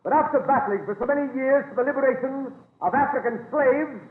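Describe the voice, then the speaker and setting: High-voiced solemn orator, echoey old radio. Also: male voice, quick pace, phone-quality sound. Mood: solemn, impassioned. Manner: declamatory, formal. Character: impassioned 19th-century American abolitionist orator, solemn and principled. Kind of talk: 1930s radio drama oratory. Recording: echoey, phone-thin, faintly noisy 1930s radio recording.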